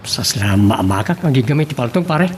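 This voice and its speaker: A man's voice: medium pitch, expressive, fairly quick, darkish tone, a little hiss or hum, lightly acted. A man playing a hesitant, protesting friend in an Ilocano audio drama. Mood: uneasy, protesting. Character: hesitant, doubtful novice with guns, protesting to his buddy.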